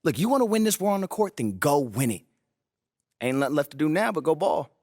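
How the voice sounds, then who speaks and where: A man's voice: fairly high pitch, very animated, a little fast, a little mellow, no echo, clean studio sound. A man playing a pushy, persuasive sports adviser in a video game, talking emphatically in casual slang. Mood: firm, motivating.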